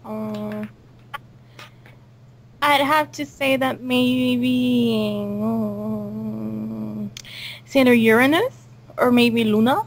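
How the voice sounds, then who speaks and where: A woman's voice: fairly high pitch, drawn-out words, gravelly, pauses often, slight background noise. A woman hosting a casual cast interview, talking in a hesitant, rambling way.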